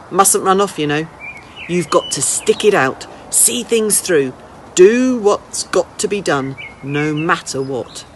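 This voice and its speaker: A woman voicing a small, brisk, chatty old lady in a children's storybook, blunt and questioning but kindly underneath. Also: fairly low pitch, slight background noise. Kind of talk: children's storybook character reading.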